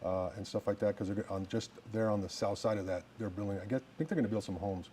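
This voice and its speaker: Fast-talking low-voiced middle-aged man. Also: warm tone, slight background noise. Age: about 50. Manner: plain-spoken and polite, answers with "yes, sir", halting restarts. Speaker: political candidate and firefighter. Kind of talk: casual interview answers.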